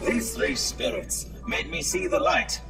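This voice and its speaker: A distant-sounding old half-mechanical villain, bombastic and menacing. The gravelly voice swings wildly in pitch with grandiose theatrical flourish, over loud music in an audio drama. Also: male voice, huge pitch swings, a little slow, full character voice. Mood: solemn, theatrical. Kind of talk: audio comedy drama acting.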